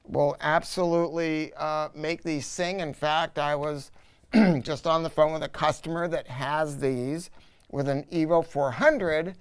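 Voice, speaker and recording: A man's voice: on the high side, steady tone, measured pace, clear, resonant tone. A middle-aged American man giving a casual, unscripted product review as a dealer, blunt and informal, repeating key words for emphasis.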